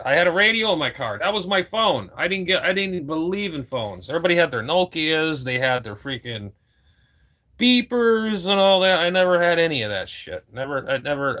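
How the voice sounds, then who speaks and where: A man's voice: a little high, big pitch swings, fairly quick, pushed and bright. A man in his fifties, a ham radio operator chatting casually on air, rambling and repeating himself, with casual swearing. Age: 50s.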